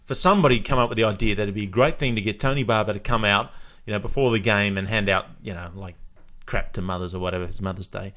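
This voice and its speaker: A man co-hosting a casual chat, telling playful anecdotes in a loud voice. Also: fairly low pitch, fast. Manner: playful, says he has a loud voice. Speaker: radio show co-host.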